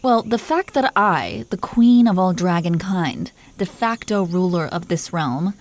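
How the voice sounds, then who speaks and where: A woman's voice: lowish voice, lively intonation, measured pace. A woman playing an imperious, possessive dragon queen who teases her husband with condescending affection in an audio roleplay. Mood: haughty. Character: haughty, teasing, possessive dragon queen and doting wife. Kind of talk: scripted roleplay monologue spoken to the listener.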